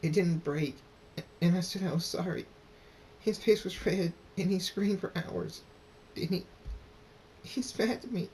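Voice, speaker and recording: A man's voice: unhurried, darkish tone. A man voicing a tearful, pleading young daughter pony in a story, with a whining manner. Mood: upset, tearful. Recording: noisy with low rumble.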